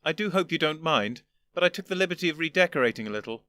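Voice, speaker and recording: Man voicing an elderly gentleman, condescending, lecturing, weary patience, raised bright voice, storybook. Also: a little high, expressive, fast, no echo, clean studio sound, voice pushed up. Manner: precise, lecturing, wearily patient.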